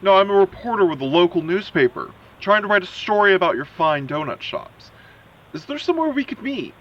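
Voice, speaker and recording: A man's voice: high voice, very animated, a little fast, lo-fi sound. A man playing an undercover agent who poses as a polite, persistent reporter, probing skeptically in an audio drama.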